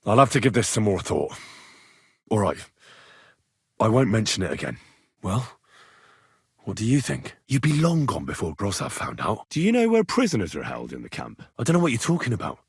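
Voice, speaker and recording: An actor playing an earnest, inquisitive young medieval blacksmith turned adventurer in a video game, speaking in a plain-spoken way. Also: male voice, on the low side, huge pitch swings, normal speed, long pauses, husky, no echo, studio quality, heavily acted. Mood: probing, determined. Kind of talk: video game dialogue lines.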